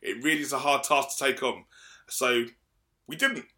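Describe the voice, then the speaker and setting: A man's voice: mid-range voice, moderate pace, pushed and bright. A man co-hosting a podcast with playful, boisterous slang. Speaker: podcast co-host. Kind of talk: casual banter.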